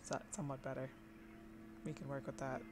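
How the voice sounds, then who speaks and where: A woman with a husky voice chatting casually to her online audience during a live stream, rambling, trailing off and restarting, laughing often. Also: a bass voice, level tone, fairly quick, over music.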